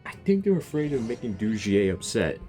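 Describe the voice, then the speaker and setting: A man's voice: lowish voice, big pitch swings, fairly quick, dark tone, faint music behind. A man giving casual, jokey commentary while playing through a game story, talking back to the characters. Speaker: gamer reacting to a story quest.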